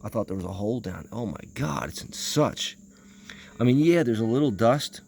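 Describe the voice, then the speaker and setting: A man's voice: mid-range voice, lively intonation, moderate pace, vocal fry, a little mellow, a little hiss or hum. A man giving unscripted hands-on commentary as he inspects something, rambling hesitantly and muttering to himself. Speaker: vintage audio enthusiast presenting a video.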